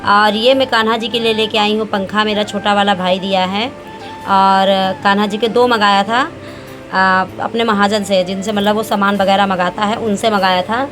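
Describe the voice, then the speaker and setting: A woman in her thirties, a family vlogger talking casually and homely at home, her voice a little hoarse. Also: mid-range voice, fairly even intonation, normal speed, music in the background. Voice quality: chatty, homely, rambling with fillers. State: slightly hoarse. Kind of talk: casual home vlog chat.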